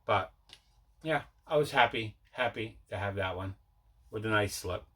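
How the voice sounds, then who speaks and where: Male vlog host, bright forceful voice, relaxed rambling unboxing chat with repeats. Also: lowish voice, unhurried. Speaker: video host showing mail and movie collection.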